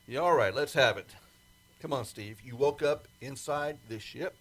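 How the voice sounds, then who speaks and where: A man's voice: mid-range voice, normal speed, fairly bright tone, husky. A man acting in an old-time radio drama as a doubting, exasperated colleague of a pilot, with an impatient manner. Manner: skeptical, impatient.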